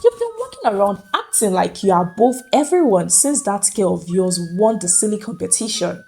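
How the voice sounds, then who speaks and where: Woman voicing a jealous, sharp-tongued rich madam. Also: mid-range voice, big pitch swings, normal speed, creaky, faint music behind, voice pushed down. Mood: sharp, indignant. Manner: sneering, snapping. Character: proud wealthy Nigerian madam, jealous, sharp-tongued employer. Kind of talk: dramatic folktale storytelling.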